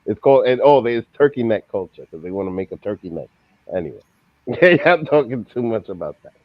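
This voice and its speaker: A man hosting a film review show in casual, informal chat with an animated manner. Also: lowish voice, fairly quick, no room sound, highs cut off.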